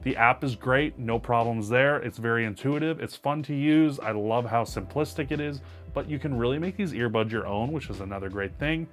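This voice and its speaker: A man's voice: medium pitch, normal speed, hardly any pauses, faint music behind. A man with a powerful voice giving an upbeat, emphatic product review to camera. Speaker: tech reviewer.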